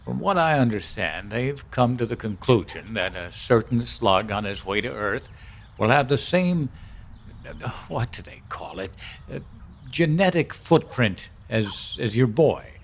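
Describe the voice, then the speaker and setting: A man playing an uneasy, apologetic mailman in an audio drama, speaking in a halting, hesitant way. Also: medium pitch, expressive, vocal fry. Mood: somber explaining. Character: apologetic, uneasy mailman bearing grim summonses.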